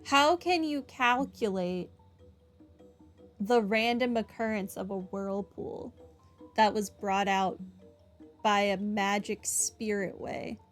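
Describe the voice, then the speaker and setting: A woman's voice: mid-range voice, slow pace, pauses often, soft background music. A blunt, sarcastic woman giving casual commentary on a game playthrough. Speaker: gaming streamer.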